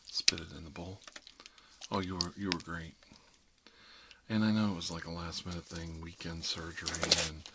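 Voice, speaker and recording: Low-voiced, rambling ASMR dentist played by a man. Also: level tone, normal speed, pauses often, very noisy. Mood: reassuring, friendly. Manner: rambling, hesitant, half-whispered asides. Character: chatty, friendly emergency dentist treating monsters, mildly bigoted. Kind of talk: ASMR roleplay, improvised one-sided conversation.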